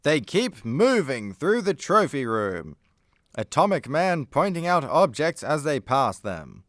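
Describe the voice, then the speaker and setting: Guy narrating a radio play, slow pace, kinda high voice. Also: very animated, fairly bright tone, no room sound, clean studio sound.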